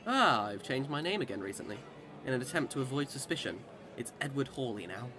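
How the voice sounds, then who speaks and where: A man's voice: mid-range voice, big pitch swings, a little fast, noisy background, natural voice. A man acting in a radio drama as a boastful immortal Englishman who swings between cheer and despair, with theatrical old-time speech. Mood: wry, self-assured.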